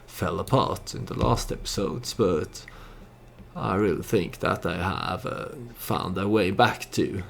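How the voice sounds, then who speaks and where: A man giving casual storytelling commentary over a strategy game, hesitant and halting as he thinks aloud. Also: low-pitched, creaky. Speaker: let's play YouTuber narrating his strategy game.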